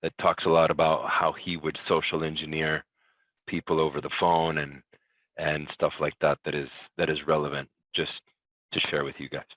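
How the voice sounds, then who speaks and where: Very deep male voice, phone-like sound. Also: little pitch movement, moderate pace, no echo. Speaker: class participant. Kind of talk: casual spoken contribution to a class. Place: online call. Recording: narrow phone-like call audio.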